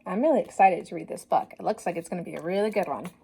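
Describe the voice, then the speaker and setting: A woman reading a children's story aloud like a teacher, animated and encouraging, pausing to put questions directly to young listeners. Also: lowish voice, creaky, slight background noise.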